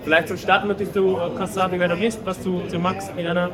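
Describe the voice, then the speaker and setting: A man hosts a podcast in a friendly, relaxed manner, using fillers as he goes. His voice is a little higher than average for a man. A crowd is clearly heard in the background. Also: fast. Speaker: podcast host. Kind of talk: casual interview chat. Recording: crowd noise behind.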